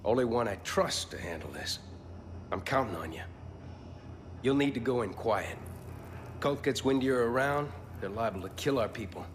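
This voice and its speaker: A man's voice: medium pitch, lively intonation, moderate pace, long pauses, big-room sound, slight background noise, lightly acted. A man playing a grave, plain-spoken resistance leader giving an earnest mission briefing in a video game.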